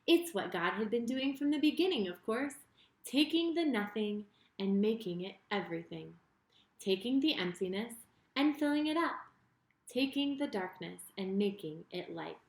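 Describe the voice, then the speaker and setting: A woman reading a children's story in an expressive, deliberate way, speaking directly to her young listeners. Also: medium pitch. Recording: roomy, echoing sound.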